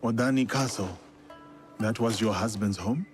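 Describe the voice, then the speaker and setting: An actor playing a grave, courteous samurai in a video game, speaking in a formal, measured and reserved way. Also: male voice, fairly low pitch, faint music behind, lightly acted. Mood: solemn respect. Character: grave, courteous samurai warrior, man, loyal and dutiful. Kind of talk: video game cutscene acting.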